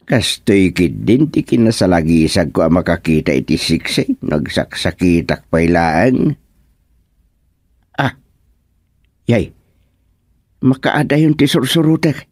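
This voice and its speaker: Excitable comic Ilocano villager, swooping pitch. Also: male voice, slow, long pauses, dry recording, studio quality, exaggerated voice. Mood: agitated, lamenting. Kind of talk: Ilocano radio comedy drama acting.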